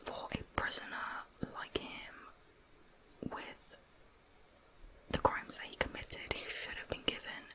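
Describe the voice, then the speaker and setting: Female ASMR true-crime narrator, fully whispered, soft explanatory delivery. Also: slow, pauses often, rough recording.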